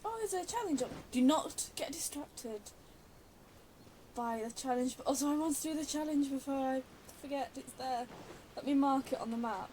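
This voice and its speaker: High-voiced woman casually commentating on a game. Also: normal speed, warm tone, a little hiss or hum, rough recording. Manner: giggly, self-mocking, muttering to herself. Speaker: gaming YouTuber. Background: game music.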